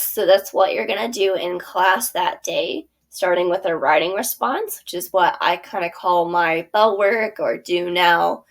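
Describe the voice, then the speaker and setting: Woman with a gravelly voice walking through a curriculum. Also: mid-range voice. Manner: friendly instructional, with frequent ums and self-corrections. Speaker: theater teacher presenting her curriculum. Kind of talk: screen-share product walkthrough.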